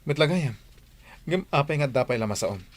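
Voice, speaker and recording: A man's voice: medium pitch, animated, normal speed, no echo, natural voice. A man acting a stern, fed-up grown son in a radio drama, lecturing firmly with an Ilocano accent. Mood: reproachful. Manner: firm, lecturing. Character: stern, fed-up grown son scolding his gambling mother.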